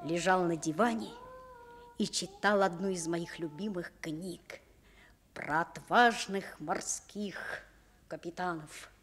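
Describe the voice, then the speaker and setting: A woman playing a dreamy, evasive schoolboy of about ten in a Soviet radio play, expressive and pleading as they tell the story. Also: fairly low pitch, slow, husky, heavily acted. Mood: storytelling.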